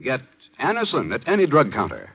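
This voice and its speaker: A man's voice: mid-range voice, huge pitch swings, a little fast, vocal fry. A man announcing on an old radio broadcast, reading scripted copy. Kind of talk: 1950s radio announcing and ad read. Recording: muffled 1950s radio recording over music. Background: music.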